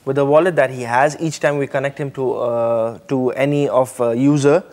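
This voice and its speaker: A young man walks a TV host through how his company's service works. His delivery is measured and explanatory, with frequent hesitations, recorded on a lapel mic in a studio. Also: medium pitch, steady tone, a little mellow. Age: young adult. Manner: measured, explanatory, many "uh" fillers. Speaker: company representative.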